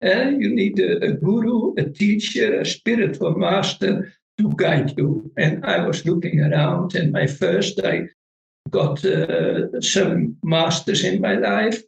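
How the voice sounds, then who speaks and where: A middle-aged Dutch man with a Dutch accent, a Vedic meditation teacher speaking halting, non-native English in a casual interview. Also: high voice, vocal fry, echoey room, rough recording. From Netherlands.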